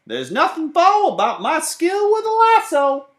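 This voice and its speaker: A man voicing a small, exuberant would-be cowboy hawking popcorn in a shouted singsong for a storybook. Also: very high-pitched, huge pitch swings, measured pace, reverberant, voice pushed up, full character voice. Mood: exuberant, hollering.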